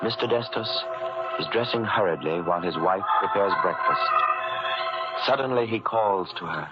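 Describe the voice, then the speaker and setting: An actor playing a solemn, mysterious announcer, dramatic and deliberate, in an old radio show. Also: male voice, fairly low pitch, animated, close to an everyday voice. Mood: measured. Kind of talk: old-time radio narration. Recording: dull old radio recording, loud music.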